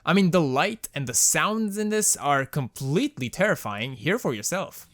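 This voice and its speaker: A man's voice: fairly high pitch, sing-song, moderate pace, a bit bright. A young man with a Romanian accent giving casual, wry, self-mocking commentary as host of a gaming channel. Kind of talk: casual game mod commentary voice-over.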